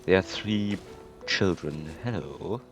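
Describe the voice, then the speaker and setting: A man with a low-pitched voice reacts casually to a video game. He is sarcastic and incredulous, talking off the cuff over faint game music. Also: expressive, slow. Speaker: gamer, playthrough commentator.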